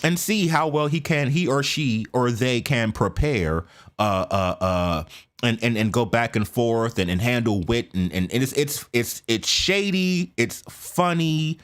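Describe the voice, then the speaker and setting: A man's voice: medium pitch, lively intonation, measured pace, fairly bright tone. An American man hosting a reaction video, talking casually to his viewers with many fillers and restarts and often checking that they follow him. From United States. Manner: chatty, lots of fillers and restarts, asks viewers for agreement. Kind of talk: casual commentary to camera.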